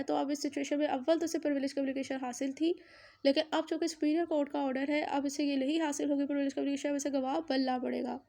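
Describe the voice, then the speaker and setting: A woman's voice: high voice, darkish tone. A woman with a Pakistani accent giving an explanatory law lecture, mixing in English legal terms and restating each point in a didactic way. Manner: didactic, repeats and rephrases points.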